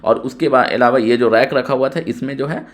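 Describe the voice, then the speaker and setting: A man's voice: mid-range voice, normal speed. A man giving an informal tutorial, explaining technical drawings step by step and often repeating himself. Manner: step-by-step explaining with frequent repetition. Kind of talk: informal screen-share tutorial narration.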